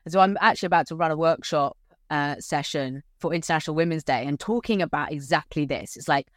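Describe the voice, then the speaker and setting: A woman coach talks in a relaxed, conversational way, her voice on the deep side. She gets animated when she retells stories, and the recording is close and dry. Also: fast, no echo. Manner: animated, acts out quotes with rising pitch. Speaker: communication coach, guest. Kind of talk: casual conversation.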